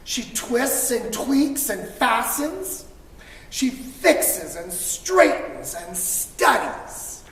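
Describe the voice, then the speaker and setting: A woman reading a children's picture book aloud to school pupils, expressively, with dramatic emphasis and drawn-out words. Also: fairly high pitch, big pitch swings. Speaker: storybook reader for school children. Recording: distant mic, echoey reverberant room, faint noise.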